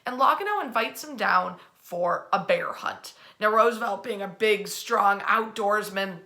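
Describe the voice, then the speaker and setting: A woman hosting an informal history storytime from her bed at home, chatty and animated, using colloquial phrasing as she speaks to an online audience. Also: mid-range voice, measured pace. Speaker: host of a nightly history storytime.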